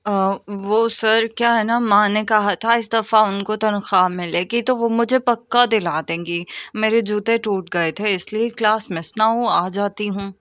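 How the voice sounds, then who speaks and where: A woman's voice: medium pitch, steady tone, unhurried, few pauses, a bit bright, dry recording, lightly acted. A young, honest schoolgirl in a cartoon tale, played by a woman, studious and frank as she speaks up for herself. The sound is dry and close. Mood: hesitant. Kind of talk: cartoon story voice acting.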